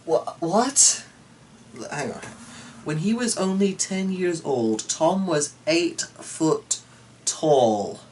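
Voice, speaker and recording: A man's voice: a little high, expressive, extremely slow, a little mellow. A man giving a dramatic storybook reading, breaking off into chatty, irreverent, sweary asides. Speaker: storyteller reading a folk tale aloud. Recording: distant mic with faint background noise.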